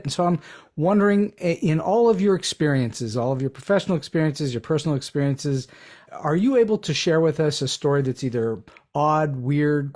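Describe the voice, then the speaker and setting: A man hosting a podcast, asking a guest questions in a measured, explanatory way. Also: a little high, a little mellow. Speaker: podcast host. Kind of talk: interview questions.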